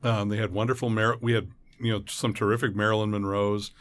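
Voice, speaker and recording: Middle-aged man telling animated anecdotes. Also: fairly low pitch, fairly quick. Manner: animated storyteller who acts out quotes. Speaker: podcast guest, voice actor and former impersonator. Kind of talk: anecdotal interview chat.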